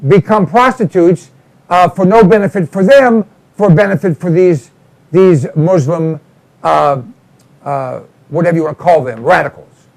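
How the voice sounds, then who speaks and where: A man hosting a talk show, introducing his guest in a halting way with many fillers. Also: sits high, gravelly, a little mellow. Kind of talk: casual talk show interviewing.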